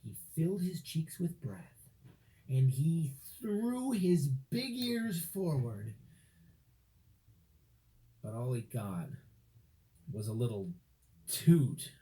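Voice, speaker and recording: Guy reading a kids story, slow and mellow, super expressive, big swings in pitch. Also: low-quality recording. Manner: dramatic, deliberate phrasing with emphasis. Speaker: storybook narrator. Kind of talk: expressive scripted children's story narration.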